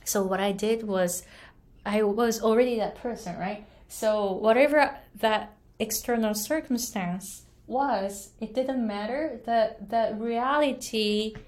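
A woman's voice: fairly high pitch, slow pace, darkish tone. A young adult woman from Japan with a Japanese accent, an English pronunciation coach speaking earnestly and chattily to camera, often tagging "right?" and laughing lightly. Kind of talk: casual motivational talk to camera.

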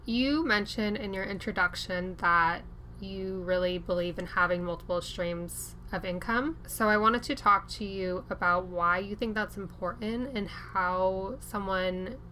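A young woman hosts a podcast in a casual, chatty way, with a lot of "like" in her speech. Her voice sits at a middle pitch, and a faint noise runs under the recording. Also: measured pace. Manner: casual, relaxed, peppered with "like". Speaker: podcast host. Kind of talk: podcast interview.

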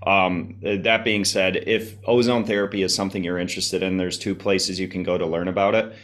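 A man chatting casually as a podcast guest, informal and explanatory, his voice slightly hoarse and a little congested. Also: deep voice, flat delivery, a little fast, fairly bright tone. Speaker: podcast guest, health enthusiast. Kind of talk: casual conversational interview.

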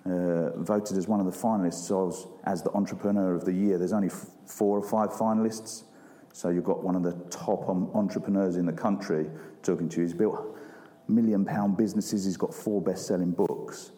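A man's voice: deep voice, steady tone, moderate pace, mellow. A man hosting an event, introducing a speaker with frequent hesitations. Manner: hesitant, with many ums and uhs. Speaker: event host. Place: large room with live audience. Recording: long hall reverb.

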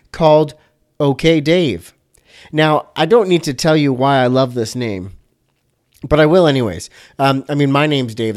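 A man's voice: on the high side, a little mellow. A man hosting an interview podcast, playful and jokey, with self-deprecating asides. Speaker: podcast host. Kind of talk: casual interview, upbeat show intro.

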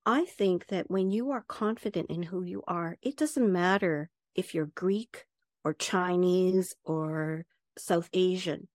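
A middle-aged woman, an HR professional and advisor, talking in an interview and acting out quotes with lively jumps in pitch. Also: slow pace, no echo, studio quality. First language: English. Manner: animated storyteller, acts out quotes with big pitch jumps. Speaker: guest, HR professional and certified advisor. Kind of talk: conversational interview.

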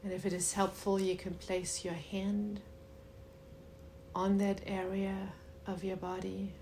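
A woman leading a guided meditation in a soothing, unhurried way with long pauses and a soft voice that turns breathy at times. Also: medium pitch, monotone, slight background noise.

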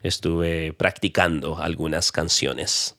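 A man tutoring Spanish in a casual chat, correcting gently with soft affirmations. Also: very deep voice, fairly even intonation, takes it slowly, no echo, clean studio sound. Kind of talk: casual language-learning chat.

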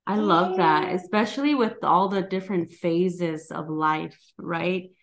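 A woman's voice: on the low side, measured pace. A woman hosting a podcast interview, friendly and enthusiastic, talking casually with fillers. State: mother with her son nearby. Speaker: podcast host.

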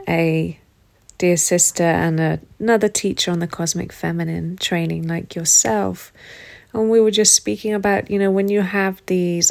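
A woman hosts a relaxed podcast conversation with a friend. She speaks in a lowish voice and is openly affectionate, calling people love. Her tone is casual and chatty. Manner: affectionate, calls guest and listeners love. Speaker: podcast host. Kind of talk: casual interview chat. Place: rural home in the countryside.

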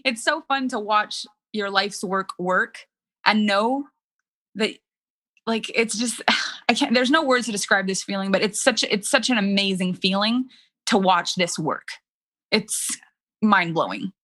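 A woman's voice: mid-range voice, a little slow, fairly bright tone. A woman in her thirties with a French Canadian accent, a French native speaker working as a coach, telling her story candidly in a casual interview, full of "like" fillers. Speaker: business coach.

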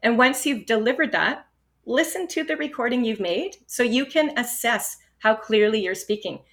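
A woman from Canada explaining speaking-test strategies in an encouraging, steady instructional manner. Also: a little high, normal speed. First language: English. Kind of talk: instructional podcast talk.

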